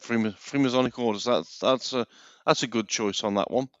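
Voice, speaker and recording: A man's voice: mid-range voice, fairly quick, a bit bright, no room sound. A man speaking casually as a guest on a live talk show, with lo-fi, muffled sound.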